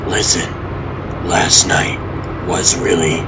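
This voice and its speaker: A man voicing a cocky, wry vigilante hero in a comic dub, with a dry, deadpan delivery. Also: sits low, takes it slowly, heavy background noise. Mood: wry, coaxing. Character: cocky adult male vigilante hero, wry, earnest, restless talker. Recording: loud music bed under the voice.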